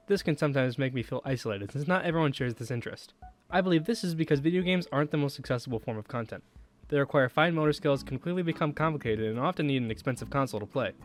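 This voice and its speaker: Young man narrating, music behind. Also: mid-range voice. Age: young adult. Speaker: student video essayist. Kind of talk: scripted video essay narration.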